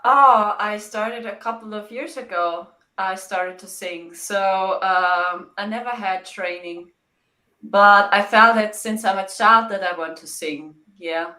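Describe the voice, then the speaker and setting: German woman with a German accent, bright voice, halting answers, echoey room; interview guest. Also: mid-range voice. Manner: halting, simple phrasing with frequent "yeah".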